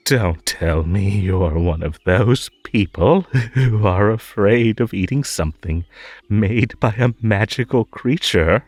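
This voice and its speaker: A man playing a possessive magical tea-party host in an audio roleplay, over-polite and halting, with a menacing, unhinged edge under the sweetness. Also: on the low side, no room sound. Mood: coaxing. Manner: halting, drawn-out, over-polite, swinging between coaxing and stern. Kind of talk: scripted roleplay audio drama.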